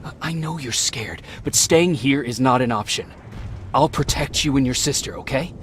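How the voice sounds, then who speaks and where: Guy playing a calm protective resistance soldier in a game, mid voice, noisy behind him. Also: mid-range voice, normal speed, close to an everyday voice. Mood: reassuring, quiet. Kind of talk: video game dialogue.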